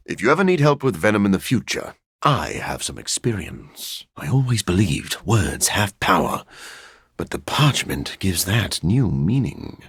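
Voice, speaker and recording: An actor playing a sophisticated, bookish vampire scientist in a video game, speaking in an articulate, formal way with dry wit and a raspy edge. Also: male voice, mid-range voice, a bit bright, no echo, full character voice. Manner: articulate, formal, dryly witty. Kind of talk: video game character voice lines.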